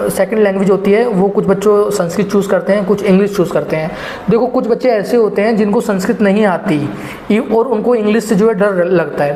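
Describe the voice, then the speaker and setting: High-voiced man giving exam tips. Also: darkish tone, a little hiss or hum. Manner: rambling, heavy filler phrases, addresses viewers as guys. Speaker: exam prep teacher. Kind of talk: casual spoken exam advice. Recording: echoey room with faint ambience.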